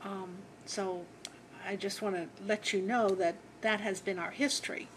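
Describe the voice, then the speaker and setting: A woman speaking as a local official in a meeting, in a measured, diplomatic way. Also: medium pitch, fairly even intonation, poor audio. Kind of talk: formal meeting remarks. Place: indoor public meeting room. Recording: faint background noise.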